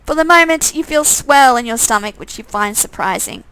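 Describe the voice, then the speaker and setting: Bright-voiced woman narrating a story game. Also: fairly high pitch, moderate pace, clear, resonant tone, dry recording, low-quality recording. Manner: expressive, breaks off to comment casually. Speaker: streamer reading a visual novel game aloud. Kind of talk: dramatic narration with off-the-cuff asides.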